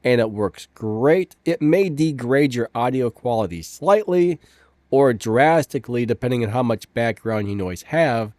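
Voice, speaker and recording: A man's voice: medium pitch, a little mellow, no room sound, studio quality. A man hosting a casual tech tutorial, upbeat and chatty, with stuffed-up sinuses, recorded at home with a fan running. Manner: upbeat, chatty, says "ba-bam".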